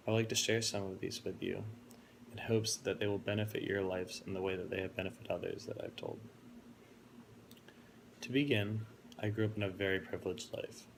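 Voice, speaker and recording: A college-age man from Florida giving a prepared, earnest introduction speech in a steady, reflective way. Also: fairly low pitch, creaky, slight background noise, low-quality recording. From Florida, United States. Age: 20s.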